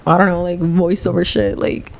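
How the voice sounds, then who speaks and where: A woman's voice: lowish voice, flat delivery, normal speed, gravelly, darkish tone, a little hiss or hum. A woman in her twenties, a guest on a podcast, talking casually and filling her speech with "like" and "you know". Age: 20s. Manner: chatty, heavy filler "like", frequent laughs. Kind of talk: casual chat. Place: podcast studio. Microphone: studio dynamic mic.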